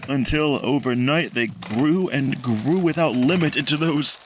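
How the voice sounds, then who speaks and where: An actor playing a guilt-ridden, horror-struck scientist losing his mind in a 1940s-style radio drama, halting and repeating himself melodramatically. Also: medium pitch, slight background noise, natural voice. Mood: horrified, haunted.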